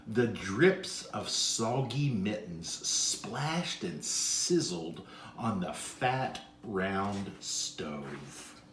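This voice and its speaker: A man reading a children's story aloud as narrator in an expressive style. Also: lowish voice, very slow, slight background noise. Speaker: storybook reader. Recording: echoey room sound.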